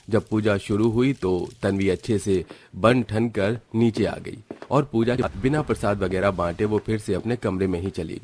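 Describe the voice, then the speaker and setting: Low-voiced man narrating a story. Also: a little mellow, a little hiss or hum.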